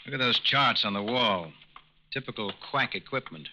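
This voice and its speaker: A man's voice: medium pitch, animated, normal speed, lightly acted. An actor playing a businesslike, terse male junior federal agent in a 1940s radio crime drama. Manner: brief, blunt questions. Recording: muffled, noisy old radio broadcast.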